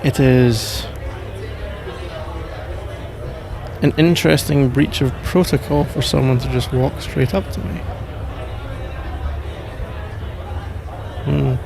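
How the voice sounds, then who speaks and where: A man with a British accent playing a suave, suspicious and powerful mafia crime lord in an intimate ASMR roleplay, measured, self-assured and teasingly condescending. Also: mid-range voice, steady tone, creaky, natural voice. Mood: cool, guarded. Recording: noisy bar-like crowd ambience behind.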